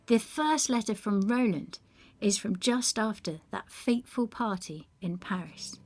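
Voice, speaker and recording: A woman's voice: mid-range voice, unhurried, darkish tone. A woman presents a series in scripted podcast narration.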